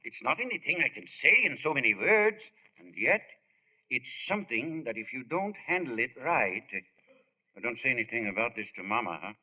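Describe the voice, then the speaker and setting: A man's voice: mid-range voice, very animated, normal speed. An actor playing a timid, henpecked old father with a hesitant, confiding manner in a 1940s radio drama. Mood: nervous, confiding. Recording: thin, muffled old radio broadcast.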